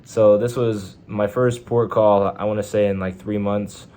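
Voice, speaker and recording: A young man with a Texan twang, speaking in a somewhat low voice. He talks in a loose, rambling vlog style, full of slang and fillers. He is recording in a hotel room. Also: steady tone, unhurried, mellow, a little hiss or hum. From Texas, USA. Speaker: vlogger, Navy sailor. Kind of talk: casual vlog monologue.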